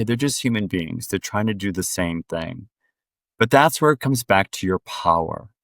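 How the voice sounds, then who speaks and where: A man with a lowish voice, recorded close and dry with no room sound. He talks earnestly and directly as a podcast guest, quickening when he stresses a point. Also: fairly bright tone, no echo. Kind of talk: reflective conversational interview.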